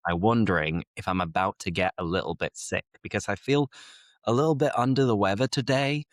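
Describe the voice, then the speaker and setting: A man's voice: on the low side, fairly quick, no room sound, studio quality. A British man hosting an English-learning podcast, explaining idioms in an easygoing way while a little congested from a cold. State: slightly congested with a mild cold. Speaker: podcast host, English teacher. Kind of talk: casual conversational podcast.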